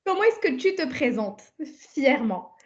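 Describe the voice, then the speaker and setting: A woman hosting a conversational interview, building her questions deliberately in short phrases, on slightly muffled audio. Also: high-pitched, big pitch swings. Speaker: podcast host.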